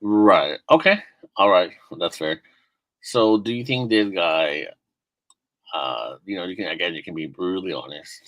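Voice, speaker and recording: A man's voice: sits low, animated, measured pace, fairly bright tone, no echo. A young man hosting a casual stream chat, asking questions with many fillers and restarts. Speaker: streamer host. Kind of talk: casual chat, interviewing a guest.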